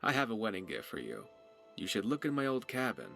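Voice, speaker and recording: Swashbuckling rogue for a game, playful and theatrical, wide melodic range. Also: male voice, on the low side, wildly swooping pitch, a little fast, vocal fry, a bit bright, soft background music, exaggerated voice. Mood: warm, sly. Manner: wry, boastful, theatrical. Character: dashing cocky young male pirate rogue, playful and tender. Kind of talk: voiced game character dialogue.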